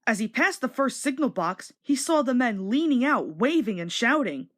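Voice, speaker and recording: A woman narrating a children's storybook aloud in an expressive, scripted read-along style. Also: a little high, moderate pace.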